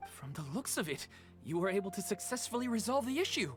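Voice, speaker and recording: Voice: medium pitch, very animated, fast, lightly acted. An actor voicing a friendly, relieved townsperson in a video game, delivering news in an informative way. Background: game music.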